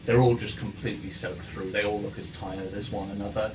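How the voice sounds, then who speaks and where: A man acting as game master, improvising tabletop narration in a halting, hesitant way, recorded in a noisy room. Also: fairly low pitch, little pitch movement, echoey room. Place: in-person game room with ambient sound. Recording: noisy room, distant microphone.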